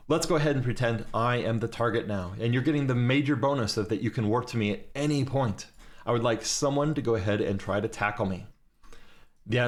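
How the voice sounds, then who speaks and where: A man teaching a class during a live game, giving step-by-step directions to his fleet over voice chat. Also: mid-range voice.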